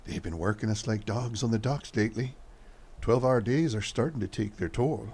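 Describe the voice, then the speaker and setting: A man's voice: fairly low pitch, moderate pace, darkish tone, husky. A man playing a weary, good-humored dockworker husband in a radio play. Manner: folksy, teasing.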